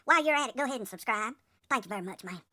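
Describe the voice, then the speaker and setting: A man putting on a squeaky, fast-talking little male sidekick voice that chirps a quick request. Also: animated, bright, forceful tone, full character voice. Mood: chirpy.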